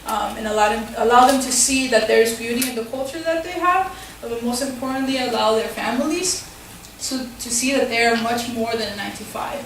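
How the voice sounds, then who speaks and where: A woman's voice: a little high, a little fast, hardly any pauses, slight background noise. A young woman teacher and community organizer giving a casual forum talk, full of fillers and tag questions. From Tucson, Arizona. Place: school hall. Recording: echoey hall, far from the mic.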